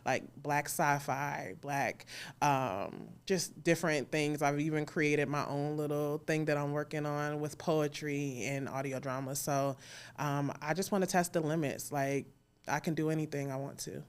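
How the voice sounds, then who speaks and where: A woman speaking casually and candidly as an interview guest, informal and quick to laugh. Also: deep voice, fairly even intonation, smooth. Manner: candid, informal, laughs easily while talking. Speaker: interview guest, audio drama creator and sound designer. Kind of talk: casual conversational interview at a live event.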